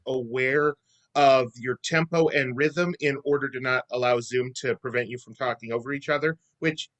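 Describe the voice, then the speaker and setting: A man's voice: mid-range voice, fairly even intonation, normal speed, fairly bright tone. A man, an actor, answering questions conversationally as a guest on a video call.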